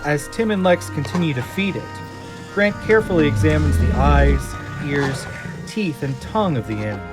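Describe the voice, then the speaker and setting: A man's voice: fairly high pitch, lively intonation, normal speed. A man delivering dramatic scripted narration as the narrator of an audio drama. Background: music and sound effects.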